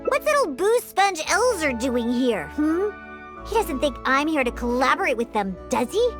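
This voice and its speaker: Grumpy high-pitched little cat-girl bartender. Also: sing-song, normal speed, over music. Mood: scornful, grumbling. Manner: haughty, curt, grumbling. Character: grumpy, proud young cat-girl bartender, childlike, disdainful. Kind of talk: English video game voice acting.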